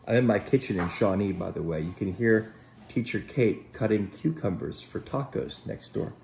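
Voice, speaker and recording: A man reading a children's story aloud in an expressive, dramatic way, pausing to speak directly to young listeners. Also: on the low side, gravelly, a little hiss or hum. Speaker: Sunday school storyteller. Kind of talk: animated children's story read aloud with asides. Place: at home.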